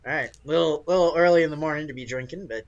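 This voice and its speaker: A man's voice: fairly high pitch, fast talker, muffled recording, natural voice. A man playing a laid-back, polite human tavern barkeep in a tabletop game, speaking plainly and hesitantly. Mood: polite, casual.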